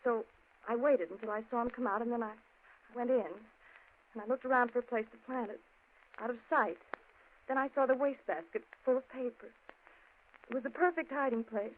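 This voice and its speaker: A young woman confessing to a murder, as an actress plays her in a 1940s radio drama. She speaks softly and pleads. The sound is thin and tinny like an old radio broadcast. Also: on the high side, a little fast, long pauses, slight background noise, close to an everyday voice. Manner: soft pleading, turns tearful.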